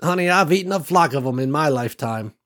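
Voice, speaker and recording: A man voicing a wise elderly woman farmer who speaks gently and folksily, calling others honey and sweetie. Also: on the high side, lively intonation, quick pace, pitched above the performer's own voice. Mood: gentle. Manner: gentle folksy drawl with endearments.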